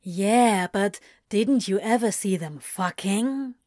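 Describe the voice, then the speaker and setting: Woman doing a chill demon guy in a story, slow easy talk, teasing and coaxing, dry sound. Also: medium pitch, darkish tone, no echo, close to an everyday voice. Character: laid-back, teasing male demon tempter.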